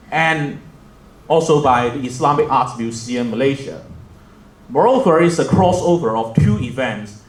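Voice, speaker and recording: A man's voice: mid-range voice, roomy sound, poor audio. A young man with a Hong Kong accent, moderating a museum roundtable with joking, self-deprecating remarks. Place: museum event hall.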